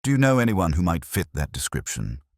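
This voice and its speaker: A man's voice: deep voice, sing-song, a little fast, dry recording, clean studio sound, pitched above the performer's own voice. A man playing a mild, polite police inspector who asks questions courteously.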